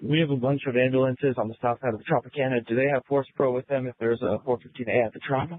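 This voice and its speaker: A man, a police officer, making brisk reports and queries over police radio. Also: medium pitch, fairly even intonation, no echo. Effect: police radio transmission. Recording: tinny narrow-band police scanner audio.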